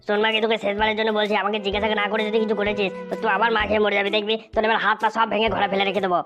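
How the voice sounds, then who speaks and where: A woman's voice: mid-range voice, little pitch movement, very fast, music in the background, close to an everyday voice. A woman voicing a bullying, foul-mouthed husband in a comedy cartoon, ranting and threatening with a West Bengal accent. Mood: bullying, exasperated. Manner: ranting, threatening, repeats himself. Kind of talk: amateur cartoon comedy squabbling.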